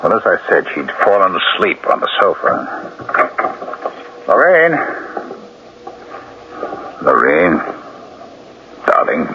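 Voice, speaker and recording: A man's voice: low-pitched, wildly swooping pitch, slow, long pauses, heavily acted. An actor playing a troubled male novelist in a 1970s radio drama, formal and earnest, guarded yet anguished. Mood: pleading, torn. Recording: muffled, noisy old radio recording.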